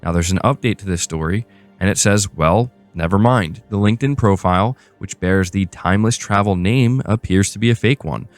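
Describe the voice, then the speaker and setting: A man's voice: fairly low pitch, normal speed, soft background music. A man hosting a gaming news show, moving between read-out reports and casual commentary. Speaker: host of a gaming news channel.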